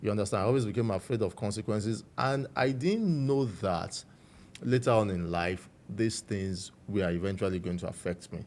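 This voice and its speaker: A man with a Nigerian accent, a guest recounting his life story in a measured, reflective way. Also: lowish voice. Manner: measured, warm storytelling with frequent "you know".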